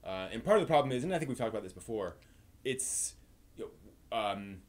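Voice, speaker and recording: A man hosting a live stream in casual, halting chat, circling back over his phrases. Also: mid-range voice, lively intonation. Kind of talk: casual rambling chat about anime.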